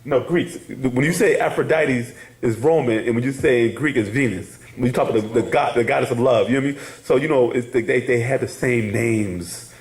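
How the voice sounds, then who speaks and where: A man's voice: medium pitch, a little fast, hardly any pauses. Echoey man lecturing a casual class. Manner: rambling, repeats "you hear me?", frequent laughs. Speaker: class teacher.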